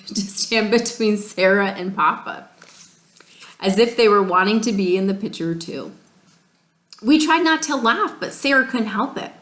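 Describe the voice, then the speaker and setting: A woman's voice: mid-range voice, fairly bright tone. A woman teacher reading a storybook aloud, expressively and speaking directly to children. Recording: echoey room.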